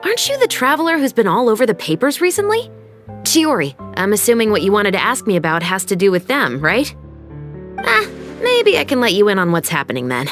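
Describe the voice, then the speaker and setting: A woman's voice: mid-range voice, very animated, fairly quick, fairly bright tone, faint music behind. A woman playing a firm, no-nonsense young patrol captain in a video game. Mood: matter-of-fact. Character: stern, assured young female patrol captain, justice-minded. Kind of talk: video game cutscene acting.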